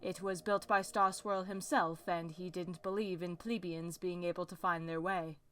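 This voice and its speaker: A woman's voice: fairly low pitch, fairly even intonation, moderate pace, a bit bright, close to an everyday voice. A woman playing a worried, regal pony princess of the night in a cartoon comic dub, speaking in formal, archaic royal diction. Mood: solemn, formal. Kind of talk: fan comic dub acting. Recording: music behind the voice.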